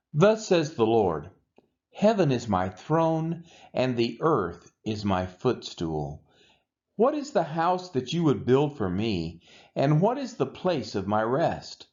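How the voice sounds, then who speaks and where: Slow, expressive man reading scripture. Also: lowish voice, dull audio. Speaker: scripture reader. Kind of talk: solemn Bible reading aloud.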